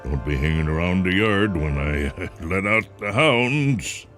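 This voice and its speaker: A man voicing a big, coarse, shrewd rural man with gruff, folksy talk in a short story reading. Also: low voice, lively intonation, unhurried, a bit bright. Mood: sly threatening. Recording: music behind the voice.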